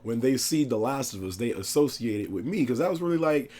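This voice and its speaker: Hoarse, excitable man with a cold. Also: medium pitch, a little fast. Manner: excitable, slangy, laughs often. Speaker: gaming YouTuber. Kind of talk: casual gaming chat.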